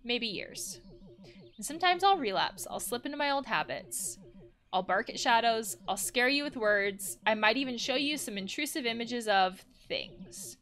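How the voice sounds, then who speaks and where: A woman's voice: fairly high pitch, lively intonation, moderate pace, a bit bright. A woman voicing an anxious, needy guard wolf from a visual novel game, dramatic and pleading, prone to alarm. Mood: earnest, pleading.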